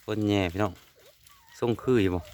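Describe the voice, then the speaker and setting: A man's voice: brisk, a little mellow. A man with an Isan Thai accent chatting casually to viewers in a vlog, outdoors among rural trees. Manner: chatty, keeps calling out to viewers. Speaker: vlogger.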